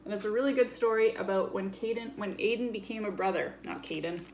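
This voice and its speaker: A woman's voice: medium pitch, fast talker, a little mellow, slight background noise, poor audio. A woman presenting a children's story time, chatting casually and playfully as she shows things and explains them simply. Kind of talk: casual show-and-tell for children.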